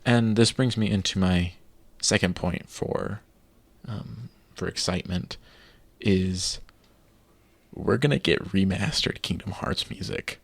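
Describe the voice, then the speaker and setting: A man's voice: low voice, slow pace, gravelly, no room sound. A man co-hosting a podcast in casual chat, eagerly building his case point by point. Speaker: podcast co-host.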